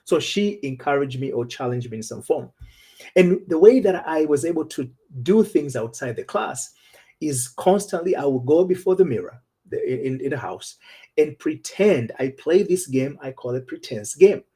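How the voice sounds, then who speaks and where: Husky-voiced man coaching. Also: fairly high pitch, lively intonation, moderate pace, warm tone. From Tanzania. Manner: earnest and personal, with frequent restarts. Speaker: relationship coach. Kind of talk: storytelling talk show monologue.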